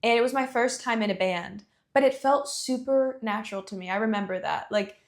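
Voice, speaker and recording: A woman's voice: fairly high pitch, brisk. Chatty young woman with frequent laughs. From Athens, Georgia. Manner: chatty, laughs often, many fillers like "you know". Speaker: singer-songwriter guest. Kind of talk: casual interview chat.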